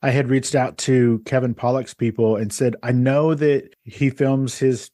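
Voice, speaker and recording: A man's voice: mid-range voice, steady tone, a little slow, mellow, no room sound, studio quality. A man hosting a podcast, chatting in a friendly way and laughing often. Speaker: podcast host. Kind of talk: casual interview chat.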